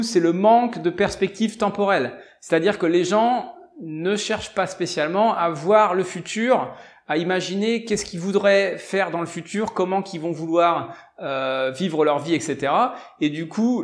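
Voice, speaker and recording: Male presenter, French accent, slightly high voice, informal explanatory delivery that circles back and rephrases. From provincial France. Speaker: self-help video presenter. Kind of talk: informal explainer on personal finance.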